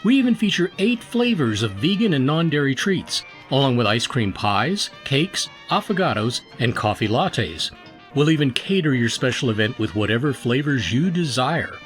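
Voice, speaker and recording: A man's voice: mid-range voice, very animated, moderate pace, fairly bright tone, soft background music. A man announcing in old-time radio style, presenting with showy enthusiasm.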